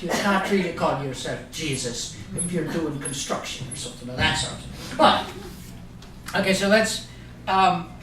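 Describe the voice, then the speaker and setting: A man's voice: fairly high pitch, sharp tone. Man, middle-aged, from Ireland, rambling joking speaker, distant mic, echoey meeting room, noisy recording. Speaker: guest speaker. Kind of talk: casual talk to a live group.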